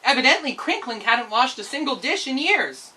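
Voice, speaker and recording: Woman reading a kids story, really animated, bright voice, bit far from the mic. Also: sits high, normal speed. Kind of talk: expressive children's storybook narration.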